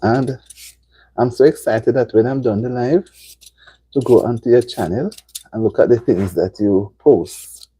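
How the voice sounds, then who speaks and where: A woman's voice: a bass voice, a little slow, warm tone, slightly rough, poor audio. A middle-aged woman from Jamaica with a Jamaican accent, chatting casually to viewers on a live stream and repeating herself for emphasis. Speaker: livestream host.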